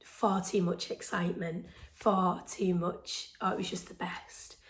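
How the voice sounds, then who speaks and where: A woman with a hoarse, failing voice hosts a casual show-and-tell vlog, chatting excitedly with lots of ums and phrases that drop into a breathy whisper. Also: lowish voice, unhurried. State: hoarse, losing her voice. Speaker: stitching vlog host.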